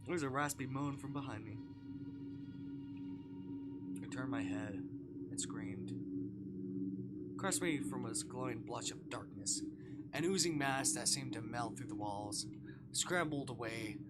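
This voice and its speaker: A man's voice: mid-range voice, a little slow, long pauses, over loud music. A man livestreaming a visual novel, reading it aloud theatrically and reacting as he goes. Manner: theatrical, swings from hushed narration to shouting.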